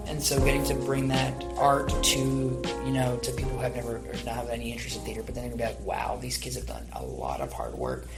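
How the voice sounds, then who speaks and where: A young man in his late teens hosts a casual interview show. His voice has noticeable fry, and he rambles along in an encouraging tone. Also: mid-range voice, fast, hardly any pauses, music in the background. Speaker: podcast host.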